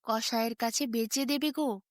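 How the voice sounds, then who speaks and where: Lady doing a sneaky storybook donkey, highish voice, all sad and confiding, fake concern, dry recording. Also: fairly even intonation, moderate pace, no echo, natural voice. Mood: feigned sorrow. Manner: plaintive, confiding. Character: sly donkey feigning sorrowful concern for his friend.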